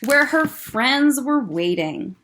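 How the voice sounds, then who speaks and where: Woman librarian, story time; unhurried, animated, picture-book warmth. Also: sits high, a little hiss or hum. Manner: gentle, expressive, comments on the pictures. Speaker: children's librarian. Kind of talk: children's story time read-aloud.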